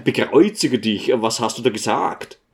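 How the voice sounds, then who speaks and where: A man voicing a stern, impatient, pious provincial priest in an audiobook, with a gruff, scolding manner. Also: medium pitch, animated, a little slow.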